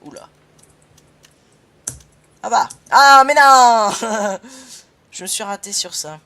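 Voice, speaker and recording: A man's voice: sits high, slow pace, sharp tone. A young man hosting a casual gaming session, laughing often and swearing playfully at his partner. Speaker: gaming channel host.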